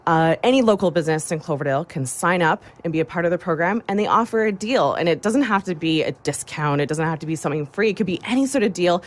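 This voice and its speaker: Enthusiastic woman guest, lowish voice. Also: animated, quick pace, hardly any pauses. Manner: enthusiastic, upbeat. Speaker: radio show guest, designer and chamber board member. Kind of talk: casual promotional interview talk.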